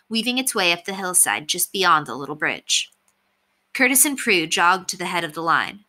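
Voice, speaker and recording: Very bright-voiced woman reading a story. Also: lowish voice. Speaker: story reader. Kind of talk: expressive read-aloud narration of a children's novel.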